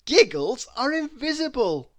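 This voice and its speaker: A woman's voice: high-pitched, big pitch swings, a little slow, voice pushed up. A woman voicing a kindly, cheerful storybook man who speaks in a hearty, emphatic, hopeful way. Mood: merry. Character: kindly, cheerful man, eager to help his friend.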